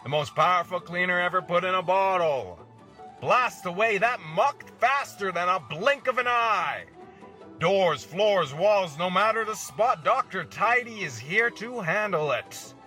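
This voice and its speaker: A man's voice: high voice, expressive, a little slow, bright, forceful tone, faint music behind, full character voice. A man playing an old-time commercial announcer, reading a scripted radio ad with an emphatic, theatrical salesman delivery. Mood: theatrical, salesy.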